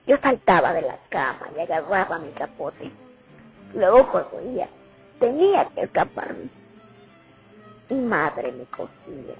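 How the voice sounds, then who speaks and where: Radio drama: young Soviet woman veteran woman acting, haunted, deliberate, highish voice over music, tinny sound. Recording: tinny phone-like audio, music underneath.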